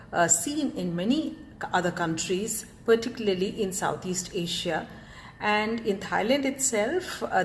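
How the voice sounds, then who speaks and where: A woman introducing a cultural program in a formal welcome speech, pausing often with fillers. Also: medium pitch, a little hiss or hum. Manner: hesitant, many filler pauses.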